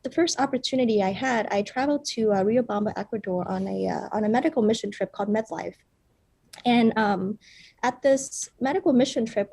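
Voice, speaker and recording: A young woman from Vietnam, a startup founder, gives an earnest pitch with frequent fillers. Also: vocal fry, mellow. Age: young adult.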